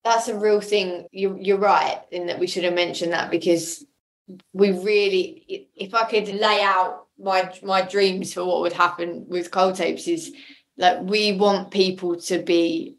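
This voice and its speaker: A woman talks casually as an interview guest. Her voice is a little low for a woman, and she comes across as enthusiastic about what she is describing. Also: level tone, measured pace. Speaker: podcast guest, audio drama creator.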